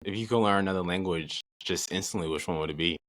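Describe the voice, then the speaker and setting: Male interview host, deep voice, dry close recording, attentive listener. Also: fast talker, fairly bright tone, dry recording. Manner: attentive, short affirming backchannels. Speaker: podcast host. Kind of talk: interview questions.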